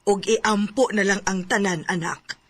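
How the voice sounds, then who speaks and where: A woman acting a worried, gentle middle-aged mother advising her child in a Filipino radio drama. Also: medium pitch, steady tone, measured pace, heavy background noise, close to an everyday voice. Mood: resigned, consoling. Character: worried, supportive, resigned mother of a young wife.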